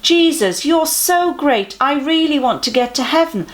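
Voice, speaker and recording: A woman's voice: sits high, expressive, a little slow, a little hiss or hum, pitched above the performer's own voice. A woman voicing an eager, gushing rich man in a children's storybook. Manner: gushing, over-keen.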